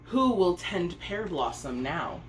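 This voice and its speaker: A woman reader voicing an emotional old gentleman, a father in a storybook, given to exclaiming and wailing. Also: lowish voice, big pitch swings, slow pace. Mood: grieving. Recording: roomy echo, faint noise.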